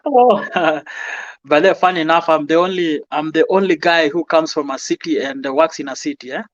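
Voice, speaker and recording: A Kenyan man from Nairobi answering interview questions in a calm, humble, soft-spoken way. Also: a little high, moderate pace, no echo, lo-fi sound. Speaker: guest.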